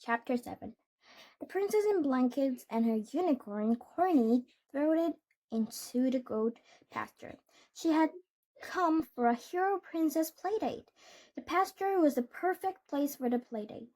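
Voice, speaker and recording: A woman reading a children's storybook aloud in a deliberate way, with small stumbles and corrections. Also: high voice, lively intonation, a little mellow, poor audio. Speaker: read-aloud host.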